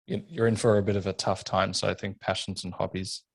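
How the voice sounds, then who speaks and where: A very low-voiced man hosting a podcast, earnest and thoughtful as he reflects and asks questions. His voice is flat in tone and gravelly, recorded close and dry. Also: a little fast, no echo. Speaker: podcast host. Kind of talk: casual podcast interview.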